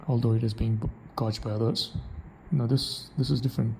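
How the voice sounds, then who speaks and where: A man's voice: medium pitch, fairly even intonation, a little fast, gravelly, a little mellow, a little hiss or hum, low-quality recording. A man with an Indian English accent, thinking aloud in a reflective solo monologue, restarting his sentences often. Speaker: podcaster.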